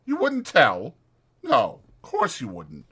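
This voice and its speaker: A man voicing an exasperated but protective ranch hand in a dramatized audiobook, blunt and scolding, with a rough folksy drawl. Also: high voice, huge pitch swings, slow, sharp tone, pitched above the performer's own voice, full character voice. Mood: exasperated, wary. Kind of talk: dramatized audiobook character acting.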